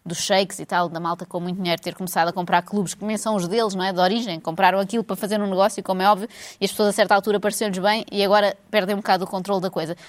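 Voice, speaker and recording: A woman's voice: mid-range voice, racing. A woman with a European Portuguese accent, co-hosting a casual chat and ranting sarcastically about football and money. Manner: sarcastic, animated rant.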